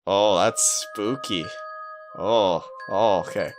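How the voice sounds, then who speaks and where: A man's voice: fairly low pitch, slow pace. A man hosting a let's play, reading a visual novel aloud and adding casual commentary, with music underneath.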